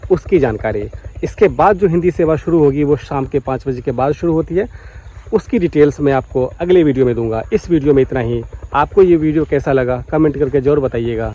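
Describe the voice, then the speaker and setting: A man's voice: a little high, warm tone. A man from India, explaining things methodically in a vlog tutorial and repeating key details. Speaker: vlogger presenting a radio tutorial. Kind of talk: explanatory vlog commentary while demonstrating. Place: outdoors beside farm fields.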